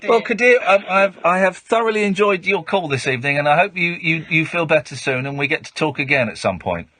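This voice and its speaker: Guy hosting a late night phone-in, bright voice, teasing and laughing a lot. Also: a little high, lively intonation, a little fast. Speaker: radio host.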